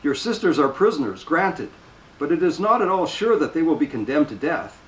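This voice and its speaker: A man's voice: fairly high pitch, big pitch swings, a little fast. A man reading the lines of a cautious chaplain in a careful, reasoning way, heard in a roomy space from a distant mic. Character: cautious male priest, chaplain, prudent.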